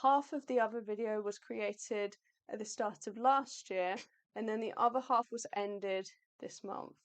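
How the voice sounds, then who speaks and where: A woman co-hosting an art livestream in a chatty, upbeat, rambling way, her voice going slightly hoarse. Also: mid-range voice, unhurried. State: losing her voice. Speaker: livestream co-host, artist. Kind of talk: casual live chat.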